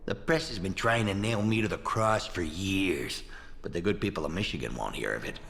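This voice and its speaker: An actor playing a vain, foul-mouthed corrupt American politician in a video game, with a pompous, swaggering delivery. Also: male voice, sits low, expressive, normal speed, creaky, fairly bright tone, slight background noise, heavily acted. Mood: triumphant, boastful. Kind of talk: video game character acting. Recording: long reverb.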